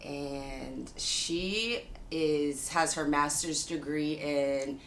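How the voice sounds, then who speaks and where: A woman in her thirties from Pennsylvania, chatting in an interview and laughing between phrases. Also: extremely slow. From Pennsylvania, USA. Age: 30s. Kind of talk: casual interview. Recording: echoey room, faint rumble.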